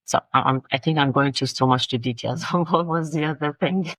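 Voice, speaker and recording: A middle-aged woman with a Persian accent, a native Farsi speaker, talking in a casual interview, halting and searching for words with many restarts. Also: low voice, a bit bright, no room sound, poor audio. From Iran. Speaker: guest, designer and language coach.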